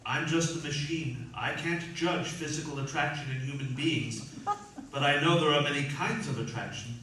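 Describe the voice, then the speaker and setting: A man's voice: fairly high pitch, moderate pace, bright, forceful tone. A man playing a candid, knowing robot who reads minds, in radio theatre style. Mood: gentle, assured. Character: mind-reading male robot, candid and unimpressed by science. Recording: echoey room, distant mic, faint noise.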